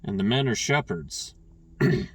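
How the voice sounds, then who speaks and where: Man reading scripture, slow and unhurried, trips over names, dry distant mic. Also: mid-range voice, animated. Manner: stumbles and restarts on names, clears throat. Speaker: scripture reader.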